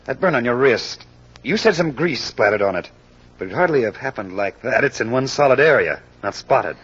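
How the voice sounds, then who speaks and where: An actor playing a shrewd, persistent American detective in an old radio crime drama, probing and assured. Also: male voice, medium pitch, lively intonation, normal speed, a bit bright, breathy edge. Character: shrewd, persistent male amateur sleuth questioning suspects. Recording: dull lo-fi old radio recording.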